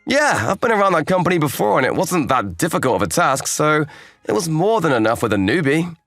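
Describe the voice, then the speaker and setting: A man acts as an easygoing, kindly young male office worker in a manga dub. His casual delivery swings widely in pitch as he moves between narration and dialogue. Faint music plays behind him. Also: sing-song, a little fast, fairly bright tone, dry recording, clean studio sound. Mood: reassuring. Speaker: narrator and lead character.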